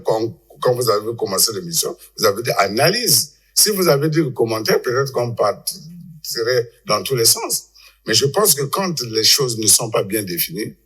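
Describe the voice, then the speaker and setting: A man's voice: fairly low pitch, fairly bright tone. A man speaks as a guest on a discussion panel. He argues off the cuff, often repeating words as he works toward his point. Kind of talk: unscripted political analysis in a panel discussion.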